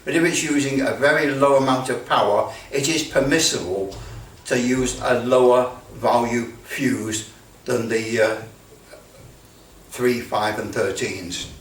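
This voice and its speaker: A British man giving a casual hands-on demonstration, halting as he thinks aloud. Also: mid-range voice. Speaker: presenter demonstrating electrical testing. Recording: roomy, distant mic with background noise.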